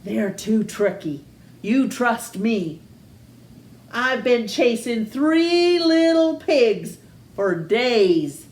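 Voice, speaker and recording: A woman's voice: fairly high pitch, animated, very slow, darkish tone, reverberant. A woman voicing a sly male wolf in a storybook reading, talking in a wheedling, confiding way. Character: sly scheming male wolf, smooth talker.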